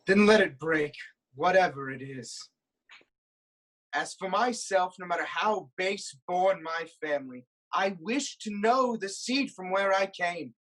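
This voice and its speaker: A woman playing a proud, stubborn king of ancient Thebes, declaiming deliberately in a theatrical reading. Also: fairly low pitch, low-quality recording. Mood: proud defiance. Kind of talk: theatrical staged reading of Greek tragedy.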